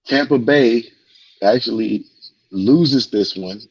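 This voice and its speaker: A man's voice: mid-range voice, slow, slightly rough, highs cut off. A man co-hosting a sports talk show, losing his voice, emphatic and repeating his points as he gives score updates.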